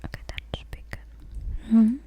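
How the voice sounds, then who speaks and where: A woman's voice: highs cut off, natural voice. Woman doing a whispering nail salon technician. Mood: gentle. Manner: soft whisper, repeats words slowly. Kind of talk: ASMR whispered roleplay. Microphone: close mic.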